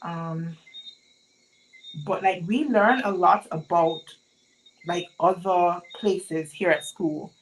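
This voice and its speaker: A woman's voice: fairly low pitch, takes it slowly, long pauses, a little hiss or hum, poor audio. Chatty Montserratian woman live-streaming. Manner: chatty, asks the chat many questions. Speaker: sewing livestream host. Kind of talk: live-stream chat with viewers.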